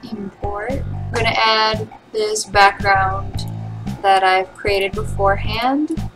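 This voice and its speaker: A young adult woman narrating a software tutorial step by step, in an informal, matter-of-fact way. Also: medium pitch, steady tone, takes it slowly, poor audio. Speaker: tutorial creator and channel host. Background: guitar music.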